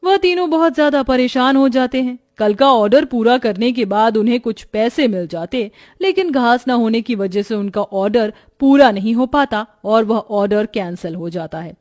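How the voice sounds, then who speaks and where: A woman's voice: fairly high pitch, lively intonation, normal speed, hardly any pauses, a little mellow, soft background music. A woman reading scripted narration for a children's moral story.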